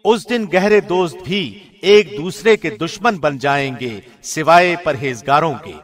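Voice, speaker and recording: A man with a fairly high-pitched voice reads translated scripture. His delivery is formal and measured, in the manner of a radio presenter working from a script. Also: expressive, soft background music. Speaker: translation reader, radio host.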